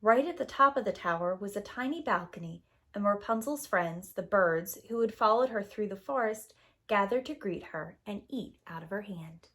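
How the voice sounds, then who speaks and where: A woman reads a children's story aloud at a middle pitch. Her delivery is expressive, deliberate and dramatic, in the manner of a preschool teacher.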